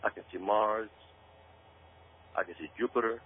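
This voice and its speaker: A man's voice: on the low side, steady tone, normal speed, husky. A man giving an emphatic, folksy monologue commentary, speaking directly to his listeners as if explaining his case. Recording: tinny phone line with hum.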